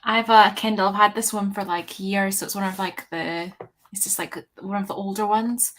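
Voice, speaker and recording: A woman chats over a video call in a relaxed, easy way, her sentences loose and padded with fillers. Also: medium pitch, normal speed. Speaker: guest on a live reading stream. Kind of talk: casual chat.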